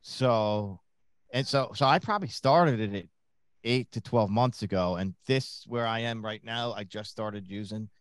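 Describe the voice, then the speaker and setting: A man's voice: on the low side, unhurried, clean tone, no room sound. A man in a small home studio, a podcast guest in easygoing casual chat over a video call. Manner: easygoing, brief agreeing replies.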